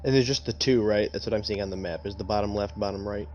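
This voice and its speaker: A man talking through a move as a player in a tabletop game, in a hesitant, halting way. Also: on the low side, darkish tone, a little hiss or hum. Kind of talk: casual game discussion.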